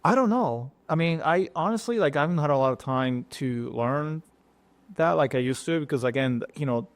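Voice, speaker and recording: A middle-aged man from Japan with a Japanese accent, a chef talking as a guest in an interview, pausing often and restarting his sentences. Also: fairly high pitch, no room sound. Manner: halting, many fillers and restarts. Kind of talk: casual interview talk.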